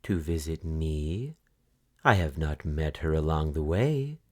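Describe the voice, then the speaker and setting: A sly old wolf crooning low , as a man puts him on. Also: lively intonation, slow, darkish tone, exaggerated voice. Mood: sly coaxing. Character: cunning old male wolf posing as grandmother.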